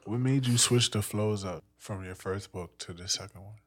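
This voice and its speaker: A man's voice: fairly low pitch, moderate pace. A man hosting a podcast, talking in a casual, playful and teasing way. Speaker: podcast host. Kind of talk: casual conversation.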